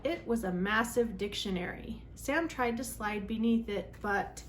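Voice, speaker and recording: A woman's voice: medium pitch, unhurried, slight background noise. A woman hosting a children's read-aloud, reading a storybook expressively with dramatic emphasis.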